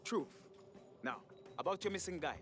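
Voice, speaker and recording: A man's voice: high voice, lively intonation, moderate pace, noisy background. A man voicing a grim, world-weary insider in a video game cutscene. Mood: grim, confiding.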